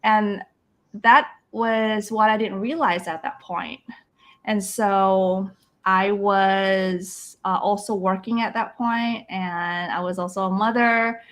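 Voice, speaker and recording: A woman's voice: mid-range voice, steady tone, slow pace, fairly bright tone. A middle-aged woman from Indonesia, a life coach, talking reflectively in an interview with many restarts and small laughs. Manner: earnest and reflective, frequent restarts, quotes others' voices, laughs often. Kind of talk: conversational interview storytelling.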